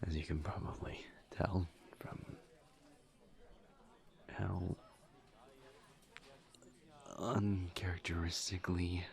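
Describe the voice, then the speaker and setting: Man voicing male incubus demon, audio roleplay, earnest, awkwardly polite, soft breathy delivery, subdued flat intonation, very slow halting pace. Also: deep voice, full character voice. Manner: hesitant, halting, formal wording, soft-spoken and breathy. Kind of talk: intimate scripted audio roleplay.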